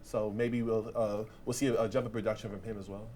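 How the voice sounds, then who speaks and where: A man discussing sports casually as a panelist, with halting, hesitant phrasing. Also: fairly low pitch, level tone, darkish tone, a little hiss or hum.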